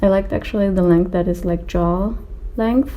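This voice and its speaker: A woman's voice: fairly low pitch, steady tone, normal speed, mellow. A woman in her twenties from Austria and Greece chatting casually as a video creator, in an informal, rambling and slightly hesitant way. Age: 20s. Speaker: video creator answering viewer questions. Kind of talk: casual chat to camera.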